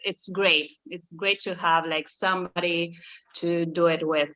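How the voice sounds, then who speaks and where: Woman from Argentina, friendly, eager, sharp bright voice, dry dull call audio. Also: on the low side, steady tone, no room sound. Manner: friendly, eager, fast talking with fillers. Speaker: acting challenge participant. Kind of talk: casual chat on a video call. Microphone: video call.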